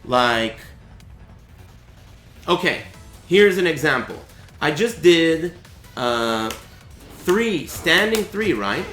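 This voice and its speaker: Brief: bright, animated man, voice gone raspy, casual stream tutorial, echoey room with music. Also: on the high side, drawn-out words, pauses often. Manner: chatty, explanatory, asks "right?" often. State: voice raspy and cracking. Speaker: streamer teaching a fighting game.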